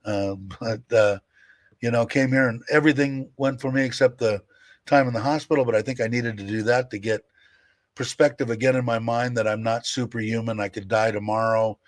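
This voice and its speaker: A man's voice: medium pitch, steady tone, a little fast, dry recording. A man in his sixties talking casually on a livestream, chatting candidly and answering viewer comments with many fillers. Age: 60s. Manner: rambling, candid, with fillers and laughs. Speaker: livestream host.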